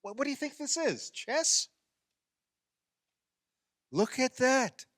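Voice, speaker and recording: An actor playing an eager, needling fifty-year-old husband in a radio play, excitable and argumentative. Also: male voice, very high voice, slow pace, no echo, studio quality, heavily acted. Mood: egging on.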